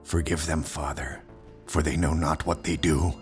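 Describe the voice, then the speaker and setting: A man's voice: a bass voice, level tone, normal speed, faint music behind. A man playing a devout, wealthy villain who speaks solemnly and formally in a comic dub. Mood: solemn devotion.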